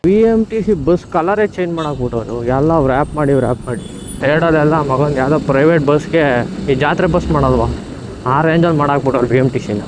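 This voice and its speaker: A man's voice: on the high side, fast talker. A man with a Bengaluru accent giving casual, slangy, blunt vlog commentary outdoors as he rides. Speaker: vlogger. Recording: outdoor vehicle and traffic noise.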